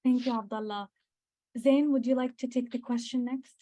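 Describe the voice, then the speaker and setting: Woman moderator with a mellow, high voice. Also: steady tone, moderate pace. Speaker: panel moderator. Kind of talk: moderating an online panel.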